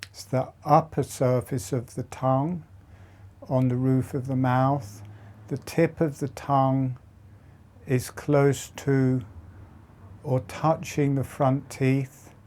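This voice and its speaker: Slow, soft-spoken man guiding meditation. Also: mid-range voice, flat delivery. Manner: slow, soft, halting phrases with long pauses. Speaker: meditation teacher. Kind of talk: guided breathing meditation.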